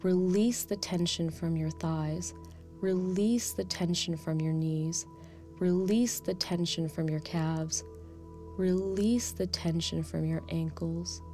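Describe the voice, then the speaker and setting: A woman playing a calm, nurturing guide who leads children through a meditation in a soft, soothing, gently instructing way. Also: lowish voice, slow. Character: Dynamo Spiritus, calm nurturing meditation guide addressing youngsters. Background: background music.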